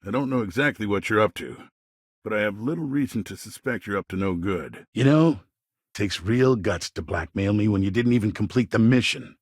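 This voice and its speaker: A middle-aged colonial governor from a video game, smooth-talking and self-important. His voice is fairly low and husky, with a dry, close sound. He phrases everything like a practised politician who is always scheming. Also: lively intonation, fairly quick, no echo. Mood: firm, veiled threat. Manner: polished politician's talk, veiled threats. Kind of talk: game dialogue voice lines.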